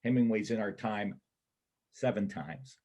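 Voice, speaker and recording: A man playing a wry, self-doubting ex-convict loner with a husky voice and blunt, colloquial speech in a reader's theater play. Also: on the low side, unhurried, warm tone, dull audio. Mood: confiding. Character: Kip, wounded ex-convict drifter, bookish loner, self-doubting. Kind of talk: stage reader's theater dialogue.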